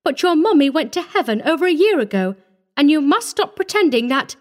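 A woman's voice: high voice, very animated, a little fast, pitched above the performer's own voice. A woman voicing an anxious, commanding stepmother, dramatic and emphatic, in a story reading. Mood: sharp, commanding.

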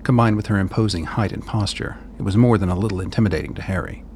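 A man's voice: fairly low pitch, fast, a little mellow, a little hiss or hum. A man narrating a scripted radio play as its storyteller.

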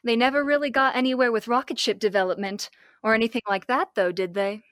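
A woman's voice: a little high, steady tone, fast talker, fairly bright tone, no room sound, clean studio sound, lightly acted. A young woman amateur playing a curious, admiring scientist's assistant in an old-time radio serial, inquisitive and wide-eyed. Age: young adult.

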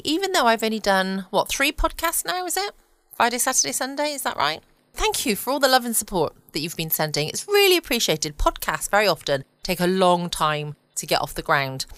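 English woman host, rather low voice, dry and close, soothing chatty delivery. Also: fairly bright tone, dry recording. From England. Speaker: podcast host. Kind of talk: cozy late-night podcast chat. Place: home.

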